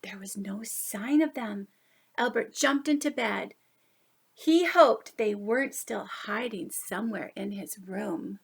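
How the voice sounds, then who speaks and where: A woman reading a picture book aloud to young children, expressive, with drawn-out emphasis on key words. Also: mid-range voice, gravelly, slightly rough. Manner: animated, drawn-out emphasis, asides to listeners. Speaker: children's story reader.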